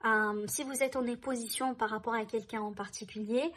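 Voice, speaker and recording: A woman's voice: a little high, steady tone, moderate pace, fairly bright tone. A woman doing a tarot reading for her viewers, speaking in a reassuring way, often checking in with "hein" and "d'accord". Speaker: tarot reader.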